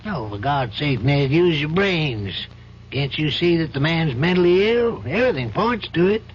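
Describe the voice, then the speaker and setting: An older judge played by a man in a vintage radio play, compassionate and paternal. His voice sits a little high, and he reasons in a measured, probing way. The recording is dull with faint noise. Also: lively intonation, natural voice. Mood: earnest, admonishing. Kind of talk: old-time radio drama acting.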